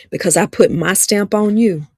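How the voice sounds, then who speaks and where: A woman's voice: medium pitch, a little mellow, dry recording, clean studio sound. Emphatic Alabama woman in her fifties. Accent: Southern US, Alabama. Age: 50s. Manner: emphatic, preacher-like, repeats lines for stress. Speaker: relationship speaker. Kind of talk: livestreamed motivational talk.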